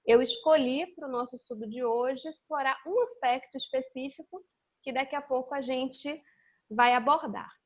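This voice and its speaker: A woman's voice: high-pitched, moderate pace. A woman giving an informal religious study talk in a didactic, conversational way. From Salvador, Bahia, Brazil. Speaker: guest speaker. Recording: dull lo-fi internet call audio.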